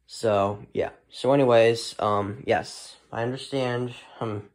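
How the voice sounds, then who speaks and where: A man's voice: mid-range voice, steady tone. A man giving a casual, unscripted product showcase as a hobbyist, talking in a hesitant, rambling way. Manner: hesitant, halting, rambling delivery. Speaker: hobbyist vlogger showing a weather radio collection.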